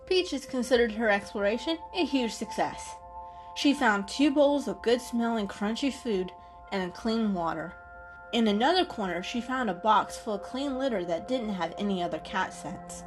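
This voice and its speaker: A woman's voice: a little high, expressive, moderate pace. Woman narrating a story over faint music. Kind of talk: scripted children's story narration, dramatic reading.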